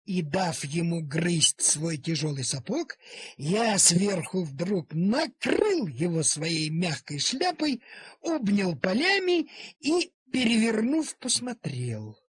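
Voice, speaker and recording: A man reading a literary animal story aloud in a theatrical, deliberate manner with dramatic pauses. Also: high-pitched, sing-song, slightly rough. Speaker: storyteller, audiobook reader. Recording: cassette transfer of audio play.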